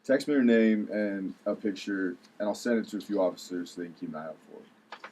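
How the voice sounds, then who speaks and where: A by-the-book policeman, acted by a man at a table read, guarded and reluctant. His voice sits on the low side, with some noise in the recording. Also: brisk, mellow, close to an everyday voice. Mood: firm, reluctant. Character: gruff by-the-book policeman, wounded over a rejected crush.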